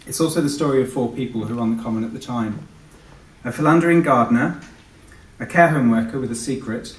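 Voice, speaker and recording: A man's voice: medium pitch, a little hiss or hum. A man with a British accent, an author giving a live literary reading. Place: live event in a hall. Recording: echoey hall, distant microphone.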